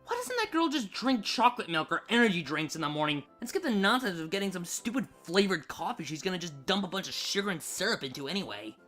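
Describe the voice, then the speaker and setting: A man's voice: high voice, sing-song, fast talker, few pauses, sharp tone, faint music behind, full character voice. Man putting on a grumpy, sarcastic young woman, wildly swooping. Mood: irritated grumbling. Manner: scornful, grumbling. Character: grumpy sarcastic young female pony who hates Christmas.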